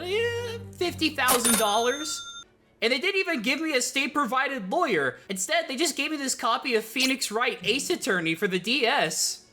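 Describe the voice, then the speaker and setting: A man's voice: high voice, bright, forceful tone. A man hosting a casual scripted video essay, with a joking, sweary, self-interrupting manner.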